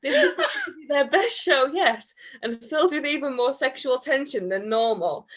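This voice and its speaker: Woman, comic storyteller, highish voice with very animated delivery, giggly live-reading feel. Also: moderate pace. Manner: giggly, breaks into laughter while reading. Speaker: reader at a comedy event.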